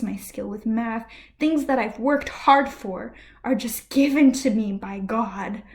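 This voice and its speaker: A woman with a fairly high voice delivers heated personal commentary to camera from a room at home. She rants with earnest intensity and drops now and then into soft, breathy asides. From United States. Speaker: vlogger.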